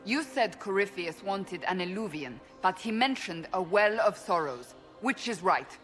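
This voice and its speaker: An actor playing a puzzled, questioning companion on a quest in a fantasy video game. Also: male voice, sits high, expressive, measured pace, a bit bright, faint music behind.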